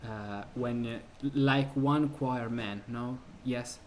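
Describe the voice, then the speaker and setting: A man's voice: medium pitch, takes it slowly. A man from Italy speaking English with an Italian accent, talking casually about an old music book as host, with many restarts and easy laughter. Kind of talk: casual discussion of a music treatise.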